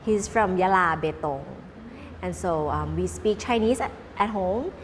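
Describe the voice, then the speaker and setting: A woman's voice: on the low side, measured pace. A Thai woman whose first language is Cantonese, answering interview questions earnestly and often restarting her sentences. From Thailand. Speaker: interview guest. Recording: reverberant room with faint noise.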